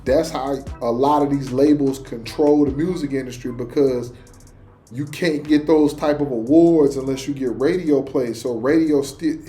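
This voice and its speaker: Guy hosting a reaction show, slangy chatty talk, mellow voice, bit of music under it. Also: medium pitch, fairly even intonation, moderate pace. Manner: slangy, conversational. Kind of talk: casual reaction chat.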